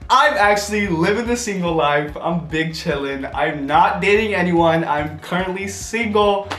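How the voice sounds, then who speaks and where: Upbeat young guy, a touch high, playful and giggly, in an echoey room with faint music. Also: a bit bright. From United States. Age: young adult. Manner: bubbly and playful, quick laughs and jokey asides. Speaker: social media influencer. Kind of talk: casual chat answering questions to camera.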